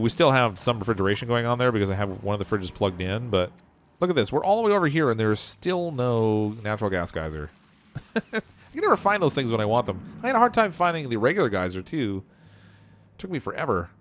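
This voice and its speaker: A man's voice: medium pitch, very animated, fairly quick, a little hiss or hum. A man streaming a game, rambling as he thinks aloud and chuckling often, his voice wearing out. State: losing his voice. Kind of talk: casual game livestream commentary.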